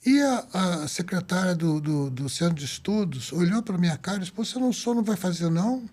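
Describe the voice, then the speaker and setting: A man in his seventies from the Azores, a doctor telling his story in an interview, lively and acting out the dialogue he recalls. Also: high voice, a little fast. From Azores, Portugal. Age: 70s. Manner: lively, acts out quoted dialogue. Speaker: doctor guest.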